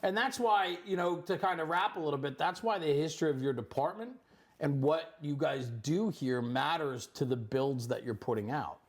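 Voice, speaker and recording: A middle-aged man with a somewhat high-pitched voice hosts a casual interview. He talks in an effusive, encouraging way, building up the people he is speaking with. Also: moderate pace. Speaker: interviewer, host. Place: firehouse kitchen.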